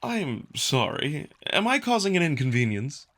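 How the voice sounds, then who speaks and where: A man voicing a courteous, smiling jackal diplomat in a visual novel, speaking in a polite, formal, measured way. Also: on the high side, big pitch swings, fairly bright tone, full character voice.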